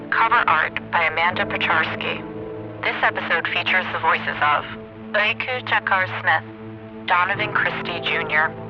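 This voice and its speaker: Credits announcer, male, high register, scripted read, somewhat muffled recording, prominent music bed. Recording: dull band-limited sound over music.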